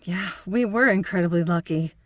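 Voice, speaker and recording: A woman's voice: fairly low pitch, moderate pace, no echo, studio quality, close to an everyday voice. A woman playing an earnest, affectionate psychotherapist in audio drama dialogue. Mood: relieved, puzzled.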